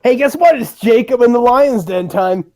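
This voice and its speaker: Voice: medium pitch, animated, normal speed, warm tone. A person narrating a comedic dramatic reading in a casual way, breaking into playful, mock-exasperated asides.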